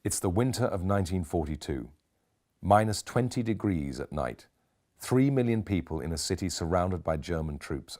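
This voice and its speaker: Male actor as British history lecturer in his forties, low voice, theatrical, wry, rhetorical, audiobook character. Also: a little mellow. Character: forty-three-year-old academic man lecturing, theatrical and wry.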